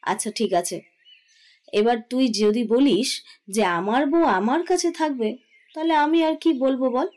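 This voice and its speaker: A woman's voice: high-pitched, measured pace, darkish tone. A woman playing a middle-aged mother in an audio drama, scolding yet indulgent, chiding and teasing with firmness. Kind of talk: Bengali audio drama acting.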